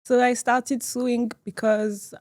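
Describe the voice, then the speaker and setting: A woman, a fashion designer speaking as a guest in a casual interview, earnest and quick to laugh. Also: fairly high pitch, level tone, darkish tone, dry recording. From France. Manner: earnest, laughs easily, small grammar slips.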